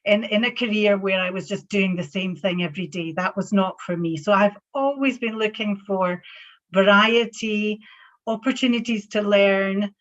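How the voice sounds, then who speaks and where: A woman's voice: mid-range voice, moderate pace. A Scottish woman working in banking answers a panel question. She sounds thoughtful and reflective. The audio is dull and muffled. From Scotland. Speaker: panel guest, banker.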